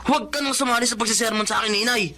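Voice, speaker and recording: An actor playing a defiant eldest son with bad habits, whining and justifying himself belligerently in a family drama. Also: male voice, extremely high pitch, fairly even intonation, racing, bright, forceful tone, heavily acted. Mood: irritated, mocking. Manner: whiny, self-justifying, belligerent. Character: defiant, vice-loving eldest son, a tricycle driver. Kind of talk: Filipino drama acting.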